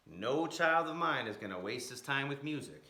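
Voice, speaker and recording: A man's voice: medium pitch, expressive, moderate pace, sharp tone. A man voicing a stern old Southern grandmother in a storybook, scolding in a folksy, emphatic way. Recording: echoey room.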